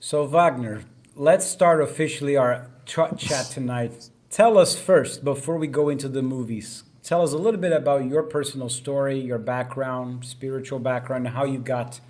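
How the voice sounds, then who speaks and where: A man's voice: medium pitch, fairly even intonation, normal speed, a little mellow. A man hosting a conversational interview, speaking emphatically and chiming in on the guest's points. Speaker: host.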